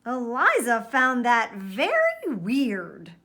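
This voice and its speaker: A woman's voice: on the high side, wildly swooping pitch, slow pace, noisy background. A woman reading a children's picture book aloud expressively, with dramatic, careful emphasis. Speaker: storybook reader.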